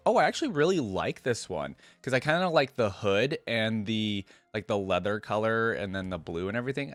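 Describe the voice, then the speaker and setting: A man with a fairly low voice hosts a reaction video in an informal, slangy way. His commentary is casual and enthusiastic, with faint game music playing behind him. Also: dry recording.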